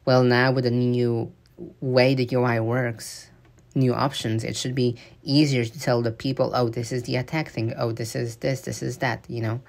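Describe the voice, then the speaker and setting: Gravelly man giving casual game commentary. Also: mid-range voice, level tone. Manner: rambling, halting delivery with frequent fillers and restarts. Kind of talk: casual voice-over commentary on gameplay footage.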